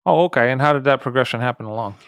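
A man hosting a podcast, asking brisk, upbeat questions and reading ads. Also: mid-range voice, a bit bright, no echo. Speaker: podcast host. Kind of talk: podcast interviewing and ad read. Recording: dry close-mic studio sound.

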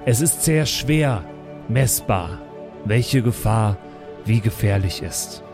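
A man playing a solemn would-be persuader in an improvised roleplay, speaking in a deliberate, rhetorical manner. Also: on the low side, expressive, voice pushed down. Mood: solemn persuasion. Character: slow, solemn male would-be persuasive orator. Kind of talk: improvised in-character tabletop roleplay speech. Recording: music clearly behind the voice.

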